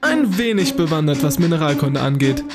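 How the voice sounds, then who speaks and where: A man's voice: a little high, very animated, a little fast. A man playing a bookish, confident young student detective in an audio drama, speaking smugly and precisely. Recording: music behind the voice.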